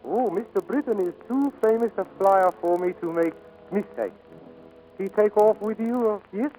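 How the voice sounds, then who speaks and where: A man acting a high-voiced, sly foreign innkeeper. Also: dark tone, faint music behind, natural voice. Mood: polite, sly. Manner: measured, courteous, broken English. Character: polite, sly, menacing foreign innkeeper spy. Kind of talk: 1940s radio acting. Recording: noisy old radio recording.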